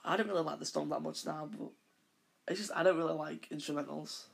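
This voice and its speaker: Croaky man rambling through a monologue. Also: fairly high pitch, fairly even intonation, fast. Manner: rambling, with frequent self-corrections. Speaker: podcast host.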